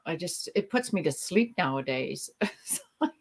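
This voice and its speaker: A woman's voice: deep voice, sing-song, unhurried, slightly rough. A middle-aged woman hosting a livestream, telling stories in a chatty, rambling way with frequent ums. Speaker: livestream host. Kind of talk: casual chat on a livestream.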